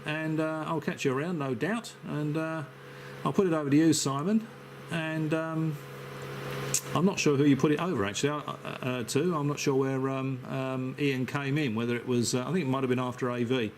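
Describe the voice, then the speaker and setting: A man who works as an amateur radio operator, chatting casually on a net in halting, hesitant phrases. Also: fairly high pitch, fairly even intonation. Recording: faint hiss and radio noise.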